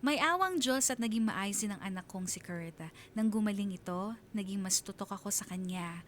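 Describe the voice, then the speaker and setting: An actress playing a sincere mother who tells her love story in measured, heartfelt narration for a radio drama. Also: mid-range voice, a bit bright, slight background noise, natural voice. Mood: heartfelt, grateful.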